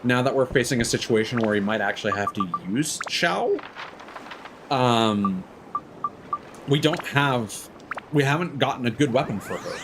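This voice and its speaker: A man's voice: medium pitch, lively intonation, moderate pace, pauses often, noisy background. A man streaming a game in casual chat, reasoning things through aloud in an analytical way. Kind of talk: casual gameplay commentary chat. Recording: game music behind the voice. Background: video game music.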